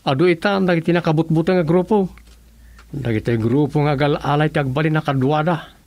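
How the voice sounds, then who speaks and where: A man's voice: a little high, fairly quick, darkish tone, no echo, lightly acted. A man playing a worried searcher in a radio drama, anxious and plain-spoken.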